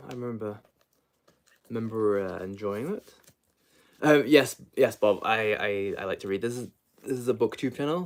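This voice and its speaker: Low-voiced man rambling casually about books. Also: gravelly. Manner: rambling, hesitant, trails off mid-thought. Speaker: book vlogger hosting a livestream. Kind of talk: unscripted casual book chat.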